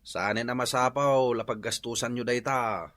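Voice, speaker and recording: A man's voice: mid-range voice, steady tone, moderate pace, a bit bright, dry recording, lightly acted. A man playing an exasperated, thrifty village husband in a comedic radio drama, scolding and exclamatory, with an Ilocano accent. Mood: resigned.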